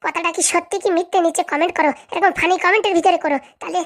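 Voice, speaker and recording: A woman voices a skinny, cheeky cartoon host with a high voice and very quick chatter. The character is chummy and teasing, grumbling for comic effect while addressing viewers. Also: steady tone. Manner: chummy, teasing, mock-complaining. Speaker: host answering viewer comments.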